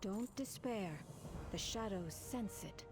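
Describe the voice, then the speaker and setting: A woman's voice: mid-range voice, takes it slowly. An actress playing a devout, steadfast woman of a holy order, giving solemn lines in a fantasy game. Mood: reassuring. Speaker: game non-player character. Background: game music.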